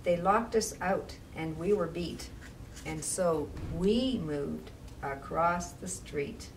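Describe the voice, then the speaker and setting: A woman reading to young children as a library storytime reader, with measured, expressive phrasing and casual asides. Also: lowish voice. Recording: distant mic with faint background noise.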